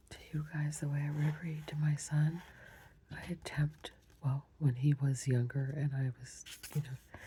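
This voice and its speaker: Soft, gravelly whispering storyteller. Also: medium pitch. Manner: soft, apologetic, chatty asides. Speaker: storyteller reading aloud. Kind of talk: whispered bedtime story reading.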